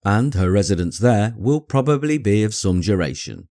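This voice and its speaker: A man voicing a dry, sardonic middle-aged English gentleman and father in an audiobook, with a wry, deadpan manner. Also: on the low side, normal speed, no echo. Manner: deadpan, wry, mock-stern. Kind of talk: acted dialogue in audiobook reading.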